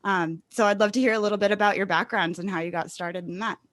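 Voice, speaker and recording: A woman's voice: medium pitch, fairly even intonation, fast talker, a bit bright, no room sound, studio quality. A woman hosting a podcast, presenting and welcoming guests in a prepared interview style. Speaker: podcast host. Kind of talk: scripted introduction and interview hosting.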